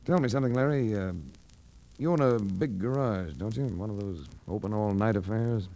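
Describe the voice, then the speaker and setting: An actor playing a wry, tough private investigator in a 1940s radio drama, with an American accent and clipped delivery. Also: male voice, on the low side, normal speed, dark tone, natural voice. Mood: wry, probing. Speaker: lead actor and narrator. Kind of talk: 1940s radio detective acting. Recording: vintage 1940s radio recording with music.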